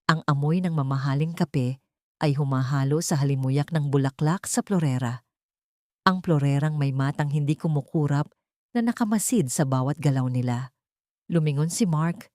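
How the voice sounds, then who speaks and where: A woman's voice: low voice, moderate pace, dark tone, dry recording, studio quality. A woman narrating a scripted dramatic story as an audio storyteller.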